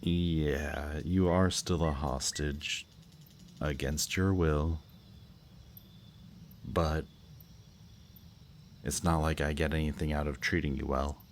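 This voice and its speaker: Casting: male actor as suave villain kidnapper for roleplay audio, extremely low voice, slow teasing menace, night birds and crickets behind. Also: steady tone, a little hiss or hum, full character voice. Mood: smug, menacing. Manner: teasing, unhurried, softly menacing. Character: villain kidnapper with powers, smug, flirtatious, falsely romantic. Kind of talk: roleplay audio drama, intimate monologue to the listener. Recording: outdoor night bird and insect ambience.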